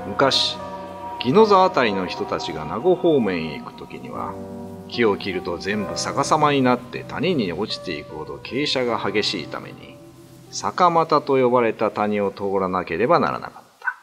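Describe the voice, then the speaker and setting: Guy narrating a spooky radio tale, kinda low voice, swings around a lot. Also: huge pitch swings, moderate pace. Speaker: narrator and father character. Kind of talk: radio drama reading. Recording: soft music bed.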